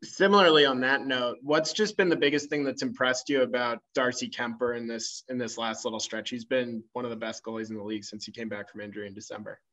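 Male reporter, remote call, dull lo-fi sound. Bright, tense tone, hesitant phrasing. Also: medium pitch, steady tone, fast talker, hardly any pauses. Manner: hesitant, repeats words as he asks. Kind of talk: asking questions over a video call. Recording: muffled remote call audio.

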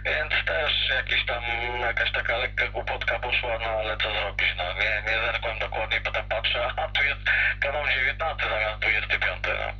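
A man's voice: mid-range voice, moderate pace, soft background music. A man chatting casually as a CB radio operator, heard through a radio. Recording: muffled, noisy CB radio reception with hum.

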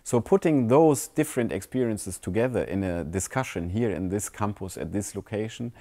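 A man in his forties, standing in an empty lecture hall, explaining the campus with measured pauses and fillers. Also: fairly low pitch, animated, warm tone. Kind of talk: on-camera explanation.

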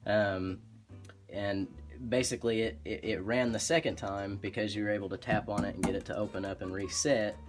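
Low-voiced Oklahoma furnace technician. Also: male voice, normal speed, soft background music. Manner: relaxed and practical, many "um"s and restarts. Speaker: HVAC technician. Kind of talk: instructional how-to explanation. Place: indoor utility closet by a furnace.

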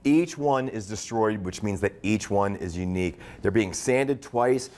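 A man's voice: on the low side, unhurried, a little mellow. A middle-aged American man giving a live product demonstration in a studio kitchen as he cooks.

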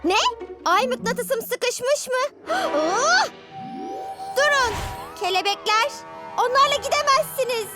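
Shrill-pitched bossy little girl villain. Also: expressive, slow, pauses often, full character voice. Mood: alarmed, exasperated. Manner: imperious, scolding, exclamatory. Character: bossy young girl villain commanding moths. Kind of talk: dubbed children's cartoon acting. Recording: loud music behind the voice.

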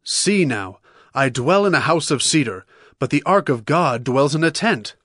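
A man's voice: on the high side, animated, moderate pace, fairly bright tone, breathy edge, no echo, clean studio sound. A man playing a devout, earnest king of Israel in a dramatized scripture reading. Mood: thoughtful. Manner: earnest, declarative.

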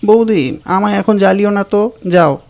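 A man's voice: sits high, moderate pace, creaky, darkish tone, voice pushed up. A man voicing a shy, bookish young man who is flustered and pleading, in a dramatized audio story over music. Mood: irritated, defensive.

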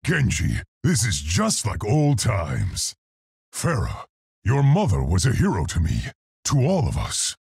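A large, brave gorilla scientist in a video game. The voice is very husky and gruff, with a gravelly edge. He speaks in earnest, articulate, unhurried phrases, polite yet heroic. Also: deep voice, darkish tone, dry recording, studio quality, exaggerated voice. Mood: calm, awkward. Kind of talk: video game character voice lines.

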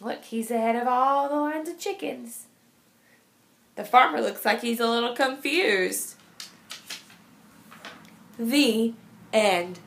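Lady teacher reading a kids book out loud, slow and emphatic, high voice. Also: gravelly. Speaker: teacher reading aloud to children. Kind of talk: expressive picture-book read-aloud.

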